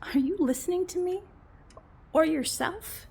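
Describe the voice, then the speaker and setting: A woman's voice: very high-pitched, unhurried, vocal fry, a little mellow, exaggerated voice. A woman acting a patient but exasperated game master in a comedy audio drama, explaining and pleading wearily. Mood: hurt pleading. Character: patient, exasperated female game master and put-upon girlfriend.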